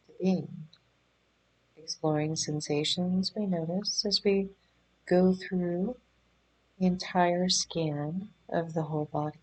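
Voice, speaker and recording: A woman leading a guided meditation in a gentle, soothing, unhurried manner, leaving pauses between phrases. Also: on the low side, rough recording. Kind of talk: guided body scan meditation.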